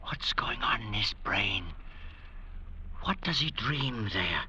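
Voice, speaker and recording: A man's voice: fairly low pitch, animated, slow, sharp tone, muffled recording, a little hiss or hum, exaggerated voice. An actor playing a frightened, suspicious man stranded on a raft, in 1940s radio acting style. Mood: uneasy.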